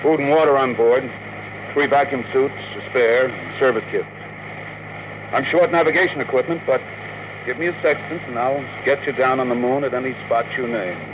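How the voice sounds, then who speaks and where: Confident male rocket pilot, acted, mid-pitched, radio-play delivery with clear background noise. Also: moderate pace, narrow, tinny audio, lightly acted. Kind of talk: classic radio drama acting. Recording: noisy old radio recording.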